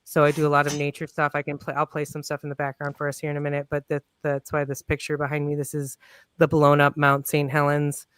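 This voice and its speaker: A man's voice: on the high side, monotone, quick pace, a bit bright, smooth, no room sound. A man speaking as a guest on a casual video-call panel, rambling and upbeat with plenty of fillers. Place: home room on video call.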